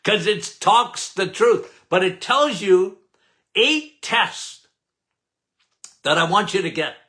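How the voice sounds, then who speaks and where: An older man from Canada hosting a podcast, loud and emphatic, with a habit of repeating "okay?". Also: high voice, expressive, slow. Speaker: podcast host. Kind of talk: casual live talk.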